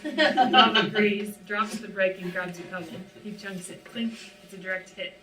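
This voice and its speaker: A woman's voice: medium pitch, fairly even intonation, moderate pace, sharp tone. A woman reading stage directions as scripted narration at a live table read. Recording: roomy, distant mic, clearly noisy.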